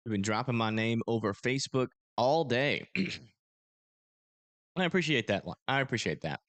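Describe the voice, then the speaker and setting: A man with a tired, hoarse voice giving loose, rambling radar commentary on a live stream. He has an ordinary mid pitch, and he sits close to the microphone in a dry, dead-sounding space. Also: lively intonation, unhurried, fairly bright tone, no room sound. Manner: casual, rambling, self-deprecating. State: hoarse, strained voice. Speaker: storm-chasing livestream host. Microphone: close desk microphone.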